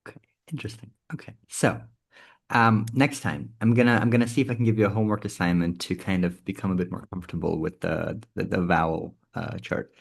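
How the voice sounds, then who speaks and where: Low gravelly man teaching on a call. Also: steady tone, a little fast. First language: Ukrainian. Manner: explanatory, demonstrates sounds aloud. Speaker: workshop instructor. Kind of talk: online teaching workshop. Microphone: video call.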